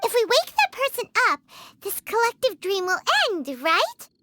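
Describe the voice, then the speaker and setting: A woman voicing a tiny, childlike, excitable fairy companion in a video game, squeaky and exclamatory. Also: moderate pace, heavily acted. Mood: excited, curious. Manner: exclamatory, refers to self by name.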